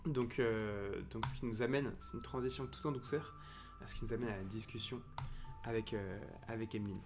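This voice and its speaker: Halting man hosting a live stream. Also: fairly low pitch, steady tone, over music. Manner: hesitant, many restarts and fillers. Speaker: stream host, interviewer. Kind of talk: casual live-stream interview. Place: home room, indoors. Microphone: headset.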